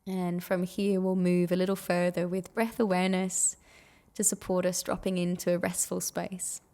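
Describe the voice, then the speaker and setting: A woman leading a guided meditation in a gentle, soothing, unhurried manner with long pauses. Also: on the low side, level tone.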